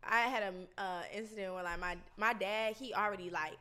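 Bright-voiced young woman, casual and slangy. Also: medium pitch, normal speed, clean tone. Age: 20s. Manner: laid-back, slangy, laughs often, quotes people in voices. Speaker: guest rapper. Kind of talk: casual podcast interview.

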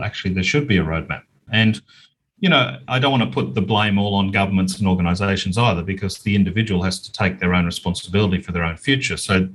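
Low, husky Australian man. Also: fairly bright tone. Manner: outspoken, emphatic. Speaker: interview guest, business owner and author. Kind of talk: conversational podcast interview.

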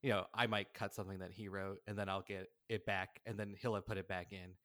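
A man's voice: deep voice, little pitch movement, quick pace, very noisy. A man speaking as a podcast guest in casual chat, telling anecdotes by acting out what people said. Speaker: podcast guest, audio drama writer-director.

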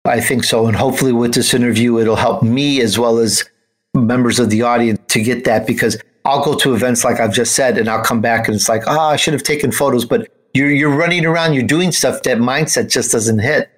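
An American man hosts an interview show. His voice sits at an average pitch for a man. He talks in a conversational way, as an interviewer speaking with a guest. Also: a little fast. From United States, grew up in Chicago.

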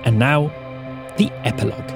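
A man's voice: mid-range voice, normal speed, over music. A man reading scripted announcements and credits as a podcast announcer. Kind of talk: scripted narration.

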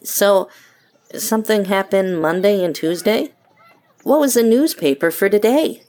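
A woman's voice: medium pitch, expressive, measured pace, darkish tone, lightly acted. A woman playing a weary, guarded, sceptical young woman in an audio drama, with a dry, curt, questioning manner.

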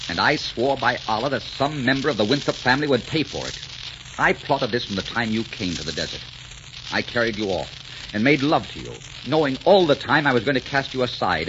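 A man's voice: medium pitch, big pitch swings, a little fast, lightly acted. A man acting a proud, bitter, passionate desert sheik in a 1940s radio melodrama, with a commanding, theatrical manner. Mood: bitter, vengeful. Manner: brooding, clipped, commanding, theatrical. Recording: dull, very noisy 1940s radio recording.

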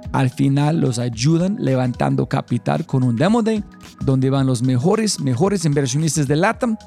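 A man's voice: mid-range voice, animated, normal speed, vocal fry, mellow, soft background music. A man hosting a podcast, opening with an enthusiastic, emphatic intro and then interviewing a guest. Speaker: podcast host.

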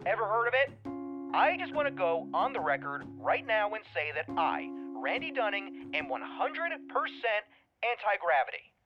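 An actor playing an indignant flat earther who rants in an emphatic, profane, theatrical way in a comedy audio drama. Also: male voice, high-pitched, moderate pace, lo-fi sound, faint music behind. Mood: hyped ranting. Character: indignant conspiracy-minded male flat earther video ranter. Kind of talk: audio fiction comedy acting.

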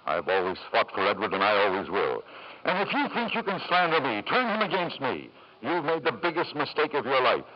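A man's voice: medium pitch, huge pitch swings, fairly quick, lo-fi sound. An actor playing a ruthless, possessive husband and doting father in a 1950s radio drama, formal and controlling, on an old broadcast recording. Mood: threatening.

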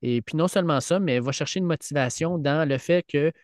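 A man's voice: fairly high pitch, brisk, darkish tone, dry recording, studio quality. A man with a Quebec French accent co-hosting a sports podcast, talking earnestly with frequent restarts. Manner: earnest, many fillers and restarts. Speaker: podcast co-host, teacher. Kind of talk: casual sports chat. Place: podcast studio.

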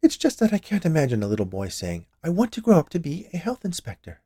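Man voicing a chirpy high-pitched plump woman confectioner. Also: huge pitch swings, fast, mellow, breathy edge, no room sound, studio quality, heavily acted. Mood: chirpy, curious. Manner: chirpy, gushing, inquisitive. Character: plump cheerful chatty woman confectioner, nosy and sweet. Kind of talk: audiobook character voice.

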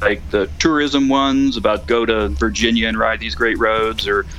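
A man's voice: lowish voice, fairly even intonation, moderate pace, fairly bright tone. Middle-aged man, noisy call-in recording. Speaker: call-in correspondent on a motorcycle podcast. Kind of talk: casual unscripted event report.

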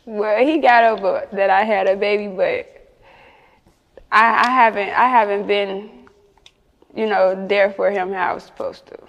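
A woman's voice: mid-range voice, steady tone, unhurried, creaky, pauses often, long reverb tail, muffled recording. A woman with a Chicago accent chatting casually as an interview guest, candid and slangy. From Chicago, United States. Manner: candid, slangy, often chuckling.

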